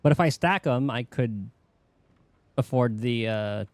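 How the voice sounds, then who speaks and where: A man's voice: medium pitch, expressive, a little slow, no echo. A man giving casual, rambling gameplay commentary as a streamer, in an offhand way. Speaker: game streamer.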